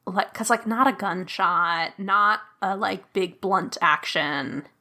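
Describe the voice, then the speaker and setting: A woman playing as guest in a tabletop game, chatting casually and eagerly working through clues aloud. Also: mid-range voice. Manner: eager, quick to laugh, reasons aloud excitedly. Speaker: guest player.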